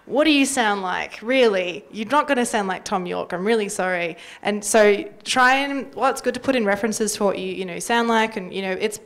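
A woman's voice: medium pitch, fairly quick, hardly any pauses. Chatty young Australian woman on a panel. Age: young adult. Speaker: panellist from community radio. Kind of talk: casual panel talk. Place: indoor workshop panel before a small audience. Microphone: handheld microphone.

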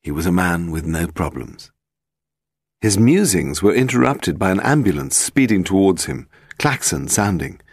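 A man's voice: deep voice, sing-song, normal speed, husky, no echo, clean studio sound. A man reading scripted narration of a detective audiobook.

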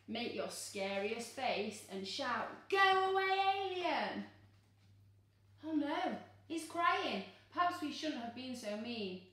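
A woman reads a children's storybook aloud in a very echoey room, some distance from the microphone. She is expressive and full of exclamations, coaxing young listeners along. Her voice sits fairly high. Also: slow. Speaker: teacher reading a picture book to children. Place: living room, seated on a sofa. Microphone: camera a few metres away.

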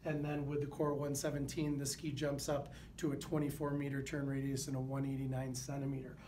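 A man in his thirties, a product manager, presenting gear to the camera in an indoor showroom, steady and informative with a few fillers. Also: mid-range voice, brisk, a little hiss or hum. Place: indoor showroom with wooden walls.

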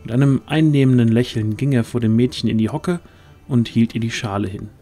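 A man's voice: medium pitch, fairly quick, dark tone, soft background music. A man narrating a fantasy audio drama as a scripted storyteller.

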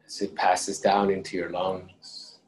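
A man leading a guided meditation, giving calm, unhurried instructions with long pauses between phrases. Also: low-pitched, poor audio. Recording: clear steady background noise.

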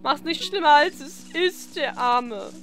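Young female let's play host, high-pitched, unhurried, playful and teasing. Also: a bit bright. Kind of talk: casual gaming commentary. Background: video game music.

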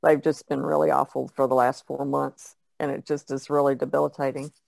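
A woman's voice: very deep voice, flat delivery, a little fast, creaky, a little mellow, dry recording. A woman chatting casually as an artist on a podcast panel. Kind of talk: casual chat.

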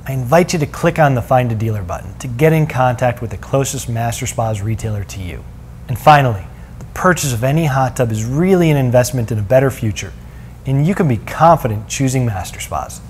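A man's voice: mid-range voice, darkish tone, a little hiss or hum. Polished male spa showroom presenter. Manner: upbeat, polished salesman delivery. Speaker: company product presenter. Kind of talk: scripted product walkthrough. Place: spa showroom with large windows. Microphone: lapel mic.

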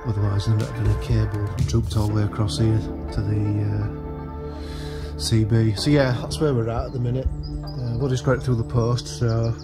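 A man's voice: lowish voice, little pitch movement, a little fast, loud music behind the voice. A man giving casual, hesitant vlog commentary, thinking aloud as he works inside a car. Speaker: vlogger showing a DIY vehicle project.

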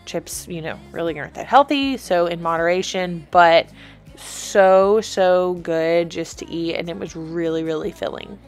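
A woman's voice: lowish voice, a little slow. A woman giving a chatty, informal voice-over as a vlogger explaining meal prep. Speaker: vlogger, host. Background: background music.

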